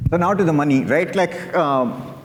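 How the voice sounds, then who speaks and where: A man's voice: on the high side, moderate pace. A man moderating a panel discussion, talking casually and off the cuff. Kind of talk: unscripted panel talk. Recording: echoey hall with long reverb.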